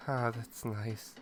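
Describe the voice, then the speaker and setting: A man playing a shy, clueless young catboy pet in a roleplay audio drama, hesitant and stammering as he talks to the listener. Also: mid-range voice. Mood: bashful, pleased. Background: ambient noise, rain.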